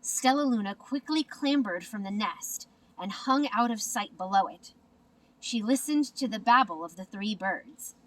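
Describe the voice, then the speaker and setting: Woman reading a kids picture book, takes it slow, expressive, sounds a little away from the mic. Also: mid-range voice, recorded from a distance, poor audio. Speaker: storybook reader. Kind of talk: children's storybook narration.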